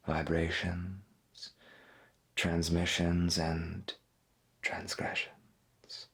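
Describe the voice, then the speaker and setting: A man playing a folksy, gentle veteran pirate radio host, speaking softly and slowly with a breathy voice in an ASMR roleplay. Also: low voice, heavily acted. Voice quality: breathy, husky. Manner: slow, deliberate, folksy, half-whispered. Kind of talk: soft-spoken ASMR roleplay as 1990s AM radio.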